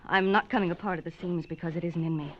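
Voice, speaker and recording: A woman's voice: on the low side, fairly even intonation, quick pace, slight background noise, natural voice. A woman acting a cool, hard-edged wife from a classic radio mystery. Her delivery is dramatic yet controlled, bitter and tough-minded. The old recording is slightly muffled.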